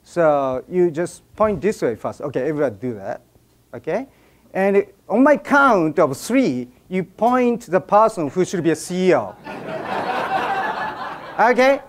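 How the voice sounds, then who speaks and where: A man's voice: sits high, big pitch swings, a little slow. A man with a Japanese accent gives a humorous talk to a live audience, getting people to join in. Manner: jokey, drives audience participation. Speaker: speaker at an event. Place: event room with an audience.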